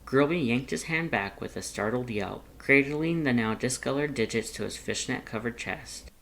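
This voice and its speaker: A narrator reading fan fiction aloud as an expressive, scripted audiobook-style story. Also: medium pitch, normal speed, gravelly.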